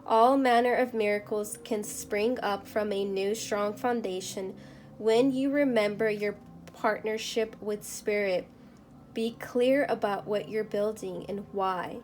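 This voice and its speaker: A woman whose first language is Spanish, doing a tarot reading at a table and murmuring softly as she shuffles and reads the cards aloud. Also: mid-range voice, fairly even intonation, a little slow, soft background music. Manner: soft-spoken, murmuring while shuffling, reading card text aloud. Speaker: tarot reader. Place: indoors at a table.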